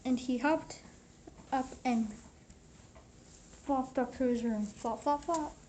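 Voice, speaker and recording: A woman's voice: sits high, slow. A woman reading a children's storybook aloud, with some background noise around the voice.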